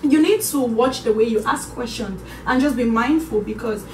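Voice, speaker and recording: A young woman from Cameroon with a Cameroonian accent, co-hosting a casual vlog chat and addressing viewers in an animated way. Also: on the high side, normal speed, slight background noise. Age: young adult. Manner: animated, acts out quoted voices. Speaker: vlog co-host. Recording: echoey room, mic at a distance.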